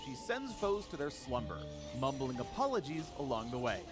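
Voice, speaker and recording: A man's voice: mid-range voice, sing-song, normal speed, loud music behind the voice. A man reading a playful scripted promotional narration for a video game character showcase. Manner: playful, punning.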